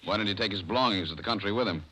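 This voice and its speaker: An actor playing a brisk, courteous but probing police officer in old radio drama style, plainspoken and official. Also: male voice, lowish voice, sharp tone, slightly rough, low-quality recording. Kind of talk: 1940s radio acting.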